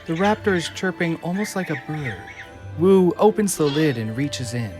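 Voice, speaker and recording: A man's voice: fairly high pitch, lively intonation, moderate pace. A man reading scripted screenplay narration for an audio drama. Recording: music under the voice.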